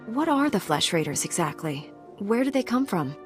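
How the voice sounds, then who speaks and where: A woman's voice: medium pitch, sing-song, normal speed, soft background music, natural voice. An actress playing an earnest, principled young woman knight in a video game, composed in manner.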